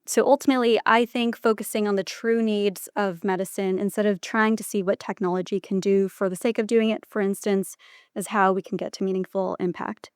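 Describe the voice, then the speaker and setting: A woman speaking as a physician guest in an interview, giving considered answers in measured, deliberate phrases. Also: medium pitch, steady tone, darkish tone, smooth, dry recording, clean studio sound.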